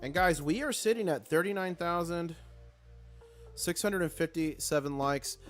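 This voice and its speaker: Hoarse, excitable live stream host. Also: male voice, on the high side, moderate pace, soft background music. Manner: excitable, chatty, reads chat aloud. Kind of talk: casual live stream chat.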